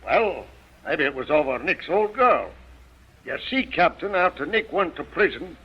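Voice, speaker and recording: An actor playing a nervous, evasive bar owner in an old-time radio crime drama, hesitant and ingratiating toward the police. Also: male voice, a little high, lively intonation, a little slow, slightly rough. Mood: nervous, placating. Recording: muffled vintage radio recording.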